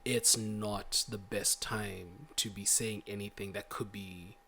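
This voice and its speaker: A man's voice: on the low side, fairly even intonation, takes it slowly, bright, forceful tone, a little hiss or hum. A man hosting a casual interview, asking probing questions in an informal, roundabout way. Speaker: interview host.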